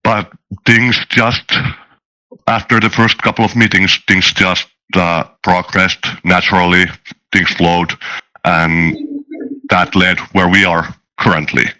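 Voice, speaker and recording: A man chatting casually as a guest, halting and thoughtful, often repeating words as he goes. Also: low voice, fairly even intonation, pushed and bright, low-quality recording. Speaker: interview guest, tabletop game master. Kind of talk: casual chat.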